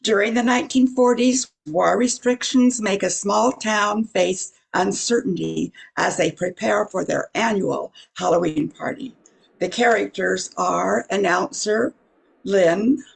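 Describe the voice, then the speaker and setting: An older woman announces a radio play. Her voice is gravelly and husky at a middling pitch. She reads scripted narration. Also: measured pace, poor audio.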